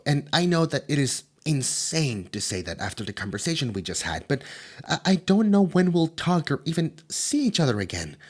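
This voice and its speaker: A man voicing a nervous, gossipy male demon in an ASMR audio drama, talking in hushed tones and stammering often. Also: on the high side. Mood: flustered.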